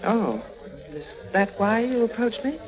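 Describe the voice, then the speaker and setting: A woman's voice: a little high, animated, a little slow, darkish tone, poor audio. A woman playing a genteel, wilful upper-class lady in a vintage radio drama. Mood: coy, insistent. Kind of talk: 1950s radio drama acting.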